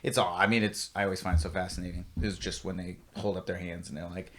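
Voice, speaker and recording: A man from Virginia hosting a podcast, chatty and quick to jump in with quoted asides. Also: sits low, little pitch movement, fairly bright tone. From Virginia, USA. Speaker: podcast host. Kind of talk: casual chat.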